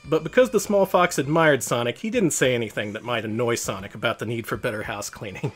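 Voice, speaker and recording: A man's voice: mid-range voice, quick pace. A man reading a children's picture book aloud as host, in storybook narration.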